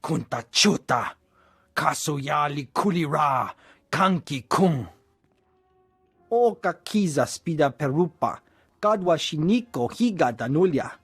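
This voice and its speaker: An actor playing a male alien in a video game, speaking an invented language in a husky voice. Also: on the high side, expressive, takes it slowly, fairly bright tone, no room sound, faint music behind, exaggerated voice. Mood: insistent.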